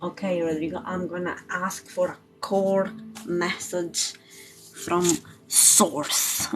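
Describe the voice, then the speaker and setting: A woman's voice: on the low side, level tone, slow pace. A woman chatting casually on a spiritual livestream as a tarot reader, in an informal, rambling, giggly manner. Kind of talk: casual live spiritual chat. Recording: music playing behind the voice. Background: music.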